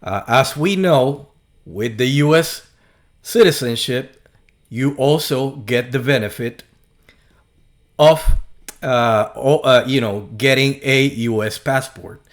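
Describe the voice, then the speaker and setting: A man with a Puerto Rican accent talking casually to camera, halting often with fillers and restarts and dropping in sarcastic asides. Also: medium pitch. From Puerto Rico. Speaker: video host.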